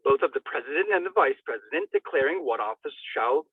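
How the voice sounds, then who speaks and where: A man's voice: a little high, expressive, fast talker, husky, no echo. A man giving a theatrical dramatic reading of a formal document in a declamatory manner, now and then stumbling and correcting himself. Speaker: reader. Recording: narrow tinny telephone-like radio sound.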